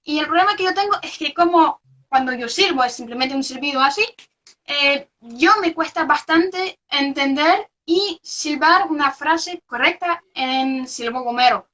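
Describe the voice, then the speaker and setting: A woman's voice: sits high, measured pace, pushed and bright. A young woman from Belgium, a researcher whose first language is not Spanish, talking hesitantly with many fillers and restarts in a casual interview. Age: young adult. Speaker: researcher guest. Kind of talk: casual interview over a live video stream. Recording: lo-fi online video call audio.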